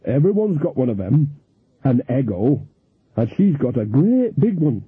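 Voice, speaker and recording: A man's voice: medium pitch, big pitch swings, unhurried, breathy edge, full character voice. A man voicing a gossipy older woman witch who talks in a chatty, folksy way. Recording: thin phone-like sound.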